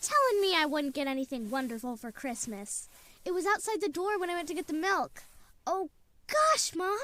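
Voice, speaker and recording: Woman playing an eager, high-pitched little boy. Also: expressive, background noise. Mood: excited. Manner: childlike, earnest, halting when confessing. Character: eager, innocent little boy, earnest and excitable. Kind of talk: old-time radio drama acting.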